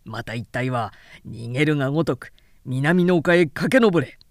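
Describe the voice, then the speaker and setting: A woman's voice: rumbling low, animated, normal speed, no echo, clean studio sound, pitched below the performer's own voice. A woman voicing a shrewd male warlord who speaks with archaic authority in a storybook reading. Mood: commanding. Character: shrewd, commanding male warlord issuing orders.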